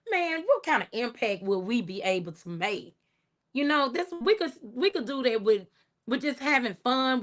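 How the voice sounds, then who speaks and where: Chatty woman hosting a livestream. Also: fairly high pitch. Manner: warm, chatty, thanking viewers by name, laughs often. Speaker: livestream host. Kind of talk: casual livestream chat reading comments.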